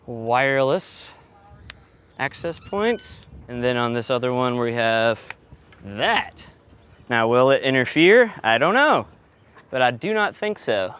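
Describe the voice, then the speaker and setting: A man's voice: mid-range voice, big pitch swings, slow pace, a bit bright. A man talking casually and teasingly as he explains the work he is doing on location. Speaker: sound engineer and host. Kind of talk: casual chat while working. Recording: clear background noise, on-location audio.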